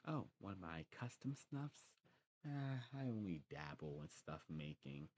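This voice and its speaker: A man's voice: low-pitched, big pitch swings, measured pace, a little mellow. A man doing an ASMR roleplay as a friendly, knowledgeable shopkeeper, chatting informally in a rambling, self-correcting way. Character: friendly demon snuff shop keeper, knowledgeable and enthusiastic. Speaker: ASMR performer playing a snuff shopkeeper. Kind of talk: ASMR shop roleplay, casual recommending chat.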